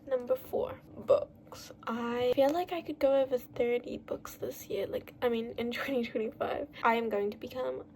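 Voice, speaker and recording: Young woman vlogger, loud and rambly, warm tone, slightly high. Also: fairly even intonation, moderate pace, few pauses, slight background noise. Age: young adult. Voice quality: naturally loud voice. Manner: bubbly, rambling, lots of "like". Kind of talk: casual chatty monologue.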